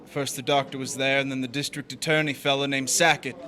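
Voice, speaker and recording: An actor playing an uneasy, guilt-ridden drifter in a noir stage drama, confessing his crime in a halting way. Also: medium pitch, flat delivery, bright, forceful tone, close to an everyday voice. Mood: grim, recounting. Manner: hesitant, halting in dialogue.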